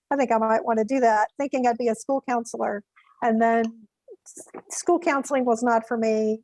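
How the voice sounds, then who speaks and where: A middle-aged woman with a Mississippi accent, a therapist answering interview questions thoughtfully with pauses. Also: on the high side, darkish tone, dry recording, rough recording. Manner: thoughtful, pauses to find words. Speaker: therapist guest.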